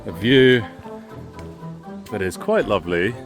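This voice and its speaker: A man's voice: fairly low pitch, lively intonation, slow, over music. A man whose native language is Bosnian, walking outdoors through a park and giving chatty, wordy travel vlog commentary. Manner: chatty, wordy, with sightseeing flourishes. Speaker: travel vlogger.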